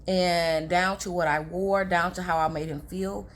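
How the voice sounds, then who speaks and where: A young woman with a raspy, hoarse voice, chatting casually to her viewers about relationships. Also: lowish voice, steady tone, unhurried. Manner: chatty, confiding, addresses viewers as girls. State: hoarse from talking all day. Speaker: relationship advice vlogger. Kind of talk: casual advice talk to camera.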